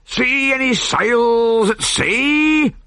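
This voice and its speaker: A man's voice: fairly high pitch, big pitch swings, a little slow, gravelly, sharp tone, no echo, pitched above the performer's own voice, heavily acted. A man voicing a greedy pirate captain who blusters, gloats and pleads frantically. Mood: gruff.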